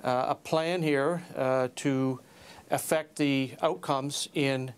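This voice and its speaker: A man answering an interview question in halting phrases as a politician. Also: mid-range voice, fairly even intonation, clear, resonant tone. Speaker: defeated election candidate.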